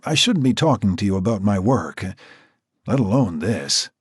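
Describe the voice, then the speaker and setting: A man's voice: fairly low pitch, big pitch swings, normal speed, husky, no room sound, studio quality. Man doing a grim, blunt cop. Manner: blunt, terse.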